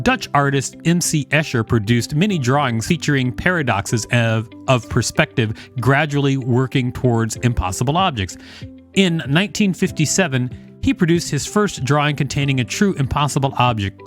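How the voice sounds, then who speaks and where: A man co-hosting a podcast, reading encyclopedia text aloud in a conversational way with casual asides. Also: medium pitch. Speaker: podcast co-host.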